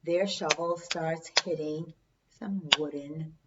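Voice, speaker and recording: A hoarse, middle-aged woman hosts her channel and recounts a true ghost story, earnest and chatty. Her voice sits low and she takes her time. She sounds a little far from the mic, and the sound is dull. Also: fairly even intonation, takes it slowly, very noisy. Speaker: channel host. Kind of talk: storytelling vlog.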